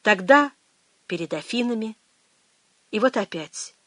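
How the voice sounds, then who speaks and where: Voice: medium pitch, expressive, a little slow, a bit bright, dry recording. A reader voicing a weary, guarded middle-aged priest in an audiobook, speaking in a restrained, reflective way. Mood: wistful.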